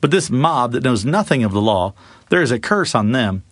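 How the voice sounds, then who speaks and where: A man's voice: mid-range voice, big pitch swings, normal speed, no room sound, clean studio sound. A man voicing a scornful, indignant male religious authority in a scripture reading, incredulous and retorting.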